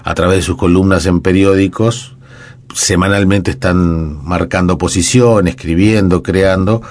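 A man's voice: deep voice, fairly even intonation, measured pace, a little mellow. A man interviewing a writer. He asks questions by way of long, musing asides. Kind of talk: casual interview conversation.

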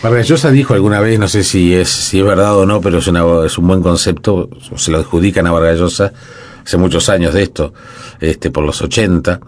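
A man's voice: low voice, a little fast. A man interviewing a writer. He asks questions by way of long, musing asides. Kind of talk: casual interview conversation.

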